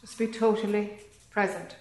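A woman leading a guided meditation calmly and gently, in short phrases with long pauses between them. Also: mid-range voice, background noise. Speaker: meditation teacher. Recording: echoey room.

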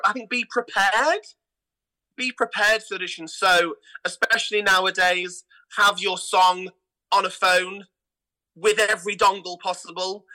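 A man's voice: high-pitched, sharp tone, low-quality recording. A British man chatting enthusiastically and casually as a theatre director being interviewed on a podcast.

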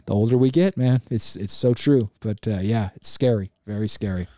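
Podcast co-host, male, laid-back talker, a bit hoarse, dry close sound. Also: on the low side, moderate pace, no echo. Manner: relaxed, reflective, swears casually. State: slightly hoarse. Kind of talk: casual podcast chat.

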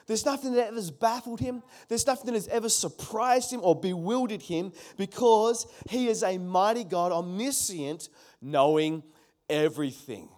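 A man's voice: high-pitched, moderate pace, darkish tone. A middle-aged man preaching a sermon in an emphatic way, repeating his key phrases and calling on the congregation to answer. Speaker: pastor.

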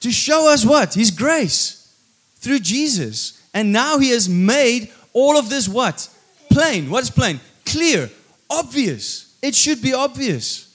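High-voiced male preacher, emphatic. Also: very animated, slow, fairly bright tone, slightly rough. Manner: emphatic, repeats key phrases; teasing, rhetorical questions to congregation. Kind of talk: sermon.

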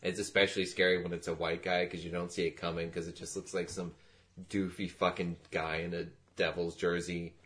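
A man's voice: deep voice, level tone, brisk, fairly bright tone. A young man co-hosting a podcast, joking and rambling in casual banter with friends, swearing freely. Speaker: podcast co-host.

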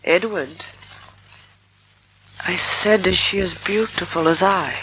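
A woman's voice: on the low side, slow, fairly bright tone. An actress in a 1940s-style radio drama playing a vain, glamorous, cold woman with a silky, imperious, theatrical manner. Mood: silky, menacing. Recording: noisy old radio recording.

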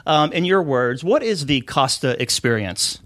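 A man's voice: a little high, expressive, measured pace, fairly bright tone, no echo. A man hosting a cruise talk radio show, chatty and upbeat with frequent fillers, interviewing a guest.

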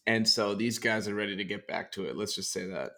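A young man co-hosting a casual sports podcast, hoarse and raspy from a sinus infection, talking in a relaxed, jokey way. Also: lowish voice, fairly quick. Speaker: co-host.

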